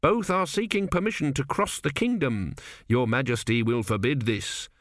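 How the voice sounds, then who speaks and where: Casting: male reader as flustered storybook court priest, raised pitch, ritual-bound, deferential, formal to a fault. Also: normal speed, a bit bright, no echo, studio quality, lightly acted. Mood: formal, officious.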